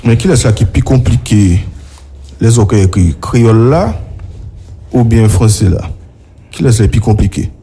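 A man's voice: on the low side, moderate pace, long pauses, dark tone, slightly rough. A man, chatting casually as an interviewer and chipping in.

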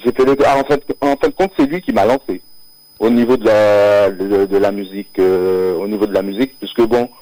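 A singer from Martinique, born near Paris, talking as a guest on a live radio show, hesitant, with many fillers and restarts and frequent laughs. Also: male voice, on the low side, fairly quick, mellow, no echo, rough recording. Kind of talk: live radio interview, casual chat. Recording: radio broadcast audio.

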